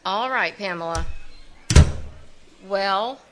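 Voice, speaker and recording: A woman's voice: mid-range voice, slow pace, background noise, natural voice. An actress playing a cool, suspicious married woman in a live radio mystery recreation, giving curt, guarded replies.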